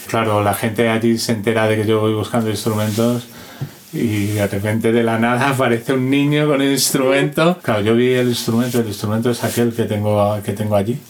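A man's voice: medium pitch, little pitch movement, fairly quick. A man talking informally, explaining and telling anecdotes like an interviewed musician. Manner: informal, demonstrates rhythms with spoken syllables. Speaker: interviewed musician and instrument collector. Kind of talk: casual explanatory talk with anecdotes.

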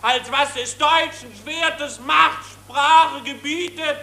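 Bombastic demagogue, piercingly high and forceful. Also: male voice, fairly even intonation, vocal fry, heavily acted. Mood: fervent, scornful. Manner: slow, ringing, bombastic declamation. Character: bombastic German power-worshipping nationalist demagogue at a rally. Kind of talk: 1940s radio drama political speech. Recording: roomy 1940s radio recording, faint crowd.

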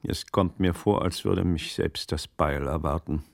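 An actor playing a troubled, learned magistrate in a German radio play, gravelly, with measured, brooding, literary speech. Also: male voice, low-pitched, fairly even intonation, no echo, studio quality, heavily acted. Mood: brooding, weary.

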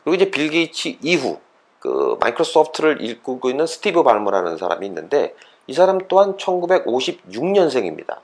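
Male educational host, conversational lecturer, animated intonation, poses questions as he explains. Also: medium pitch, fairly quick. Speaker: host of an educational talk series for parents and children. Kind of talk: explanatory lecture-style talk, semi-scripted.

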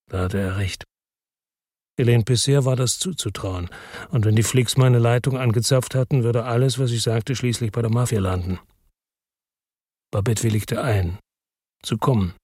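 An actor playing a weary, determined man who narrates his crime story in a measured, understated, rough-edged way for German radio drama. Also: mid-range voice, steady tone, darkish tone, dry recording, studio quality, lightly acted. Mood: sober narration. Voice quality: husky, rough.

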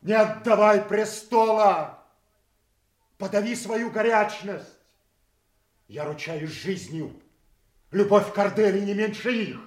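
A man's voice: high voice, slow, long pauses. An actor playing a blunt, loyal and fearless nobleman in a theatrical audio drama, solemn, fervent and defiant. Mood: bold, pleading. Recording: roomy, echoing sound.

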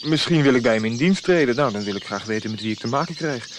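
An actor playing an earnest, inquisitive travelling physician in a conversational radio-play style. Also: male voice, medium pitch, animated, fast, lightly acted. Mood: curious. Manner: probing, conversational. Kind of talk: radio drama acting. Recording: clear noise, birdsong behind.

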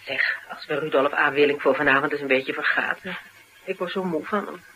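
A woman's voice: low-pitched, a little fast, pushed and bright, low-quality recording. An actress playing an assertive, wry woman investigator in a naturalistic Dutch radio drama, speaking in a dry, pointed way. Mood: wry, tired. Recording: radio drama with light background noise.

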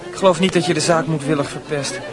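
High-voiced, earnest lovesick student acted by a man. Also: fairly even intonation, quick pace, lightly acted. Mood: earnest, pleading. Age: young adult. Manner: insistent, emotional. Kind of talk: Dutch radio drama acting. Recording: music and crowd murmur behind.

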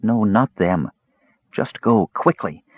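A man voicing a young barmaid in an audiobook, soft, wary and kindly concerned. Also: lowish voice, lively intonation, slow, breathy edge, no room sound, phone-quality sound, exaggerated voice. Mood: worried, soft. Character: young barmaid woman, worried, kind and wary.

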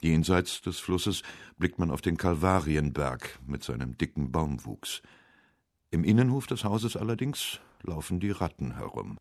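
A man reading scripted narration for a radio essay in a measured, literary way. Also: deep voice, big pitch swings, a little mellow, no echo, studio quality.

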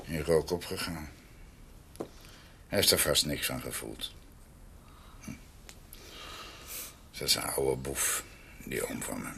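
Radio drama role: ageing doctor, wry and tired, halting delivery, noisy recording. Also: male voice, rumbling low, gravelly, bright, forceful tone, heavily acted. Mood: wry, musing. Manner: halting, repeats himself. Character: world-weary ageing doctor, wry and hesitant. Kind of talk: Dutch radio drama acting.

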